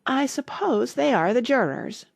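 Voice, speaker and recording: Woman playing an eager, curious little girl, lively intonation. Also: fairly high pitch, measured pace, creaky, dry recording, studio quality, pitched above the performer's own voice, full character voice. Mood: musing, proud. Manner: earnest, eager. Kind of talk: audiobook storybook character.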